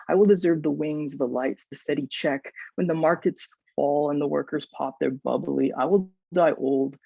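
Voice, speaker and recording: A young man reads poems in a slightly high, gravelly voice. The recording is dull and roomy, like a video call. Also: a little mellow. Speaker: poet. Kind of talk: poetry reading.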